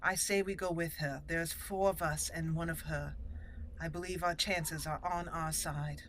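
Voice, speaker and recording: A woman's voice: low-pitched, steady tone, measured pace, a bit bright, a little hiss or hum, rough recording. A woman roleplaying a proud young elf mage noble who speaks formally. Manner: formal, stately phrasing.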